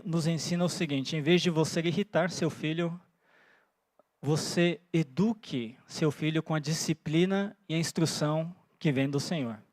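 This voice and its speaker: A pastor in his forties, born in Japan and raised in Brazil, preaching in an earnest way that pauses often for "né?" and thinks aloud. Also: fairly high pitch, a little mellow, no echo. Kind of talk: sermon. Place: church.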